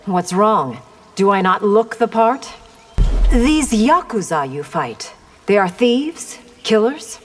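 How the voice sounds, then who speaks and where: An actress voicing a proud, disciplined army commander in a fighting video game, haughty, cool and formal. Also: female voice, mid-range voice, expressive, slow, big-room sound, faint music behind. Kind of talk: video game character acting.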